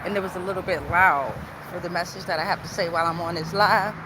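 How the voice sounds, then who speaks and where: A woman's voice: lowish voice, steady tone, quick pace. Woman livestreaming casually outdoors, some street noise. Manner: informal, repeats phrases, checks in with okay and all right. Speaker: livestream host and spiritual tarot reader. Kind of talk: casual livestream chat to followers. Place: outdoors on a walk near traffic.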